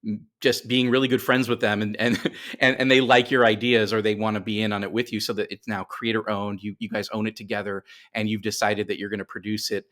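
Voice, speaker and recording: A man's voice: lowish voice, little pitch movement, a little fast, few pauses, a bit bright. A man speaking as a writer guest in a casual podcast interview, explaining things and thinking aloud as he corrects himself.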